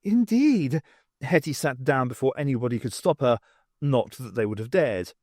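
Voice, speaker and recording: A man reading an audiobook story as scripted narration, in an expressive, dramatised manner. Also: mid-range voice, brisk, dry recording, studio quality. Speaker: audiobook reader. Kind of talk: dramatised reading with voices for characters.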